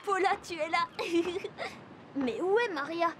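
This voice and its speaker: A woman's voice: extremely high pitch, takes it slowly. A woman playing a cheerful, curious little girl in a children's cartoon, speaking in a friendly way straight to young viewers. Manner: bright, friendly, addresses young viewers directly. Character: Jasmin, cheerful curious little girl who travels to animals. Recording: music behind the voice.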